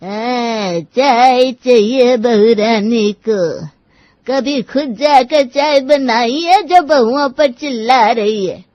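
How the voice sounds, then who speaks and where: A woman playing a stern, sharp-tongued old village grandmother-in-law who scolds and commands in a cartoon story. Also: fairly high pitch, takes it slowly, dry recording, lo-fi sound. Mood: mocking, bossy. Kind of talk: acted dialogue in a cartoon moral story.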